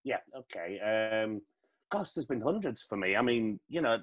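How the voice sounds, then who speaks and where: A man's voice: on the low side, lively intonation, moderate pace. A man chatting casually and jokily as a podcast guest, a pantomime performer. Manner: chatty, joking. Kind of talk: casual jokey chat.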